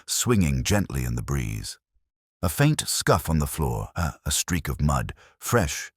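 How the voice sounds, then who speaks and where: A man's voice: very deep voice, husky, no echo, studio quality. A man reading a scripted mystery story as its narrator. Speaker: audiobook-style narrator.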